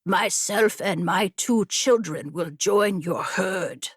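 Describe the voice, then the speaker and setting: A woman voicing a proud male wolf in a storybook fable, grave, formal and deliberate. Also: mid-range voice, breathy edge, dry recording, clean studio sound. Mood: solemn resolve.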